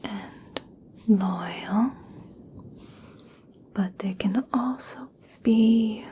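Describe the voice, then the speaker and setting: A woman playing a gentle, caring young elf princess in a soothing, breathy ASMR roleplay, speaking deliberately with long pauses. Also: mid-range voice, a little hiss or hum. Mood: gently explaining. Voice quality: breathy whisper. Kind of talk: ASMR personal attention roleplay.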